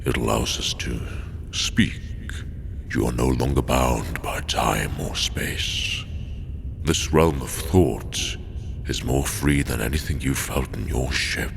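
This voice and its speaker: Very deep, gravelly cosmic entity. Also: male voice, a bit bright, a little hiss or hum, exaggerated voice. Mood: wry, knowing. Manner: wry, theatrical, deliberate. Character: ancient-seeming cosmic entity, wry, knowing, patient. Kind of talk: sci-fi audio drama acting.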